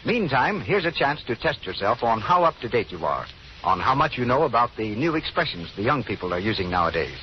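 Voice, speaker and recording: A man's voice: mid-range voice, very animated, brisk. A man announcing a 1930s radio show and its sponsor message in a polished, formal, theatrical manner. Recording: muffled old radio recording, faint hiss.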